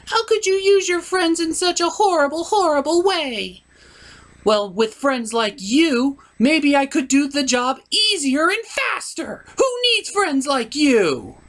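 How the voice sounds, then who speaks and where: Woman voicing a high-pitched, high-strung pony princess. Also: fairly bright tone, exaggerated voice. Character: earnest, defensive young pony princess, female.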